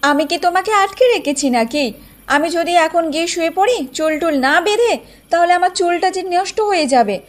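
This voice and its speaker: A woman's voice: very high-pitched, a little fast. A woman voicing a vain, haughty young village wife in a cartoon folktale, boastful and scolding. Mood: indignant, scolding. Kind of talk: Bengali cartoon folktale acting.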